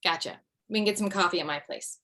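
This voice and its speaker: A woman's voice: fairly low pitch, animated, quick pace, pushed and bright. A woman playing an overwhelmed, bossy widowed mother with a dry wit in a comedy table read over video call. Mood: friendly, wry.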